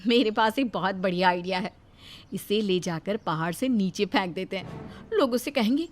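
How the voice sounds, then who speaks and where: A wicked eldest daughter-in-law in a cartoon, mocking and gloating in a shrill, loud voice, as the narrator puts her on. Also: expressive, moderate pace, soft background music, lightly acted.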